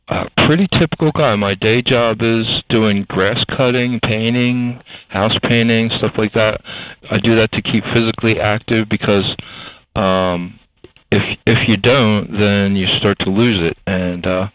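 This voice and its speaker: A man's voice: lowish voice, dry recording. A man in his sixties from Delaware with a Delaware-Philadelphia accent, giving a rambling interview full of fillers and restarts. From Delaware, USA. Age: 60s. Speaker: guest. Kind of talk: casual interview talk. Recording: tinny phone line.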